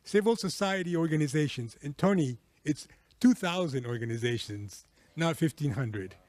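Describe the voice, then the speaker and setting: A man from Peru giving earnest, measured conference panel remarks as a civil society network chair, with frequent um fillers. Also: fairly high pitch, expressive, darkish tone, no room sound. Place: conference hall.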